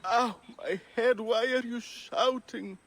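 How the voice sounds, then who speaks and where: An actor playing a pompous, hungover Greek drunkard who groans and complains floridly in a video game. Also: male voice, very high voice, steady tone, slow pace, a bit bright, exaggerated voice. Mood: aggrieved, groaning. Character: hungover, pompous, theatrical drunkard Greek man, grumbling wit.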